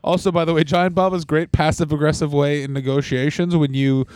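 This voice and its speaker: A man talks with a podcast partner in a dry, joking way and swears freely. His voice sits a little high. The close mic leaves it dry, with no room sound. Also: brisk, no echo. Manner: deadpan, profane, jokey. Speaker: podcast co-host. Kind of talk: casual comedic chat.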